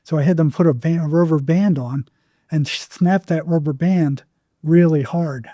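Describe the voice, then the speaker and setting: An older man speaks as a therapist being interviewed, recounting things conversationally. His voice sits a little high yet has a very mellow, muted tone. He halts and repeats words as he goes, and the recording is dry and close. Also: dry recording. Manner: halting, repeats words, acts out remembered exchanges. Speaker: psychotherapist guest.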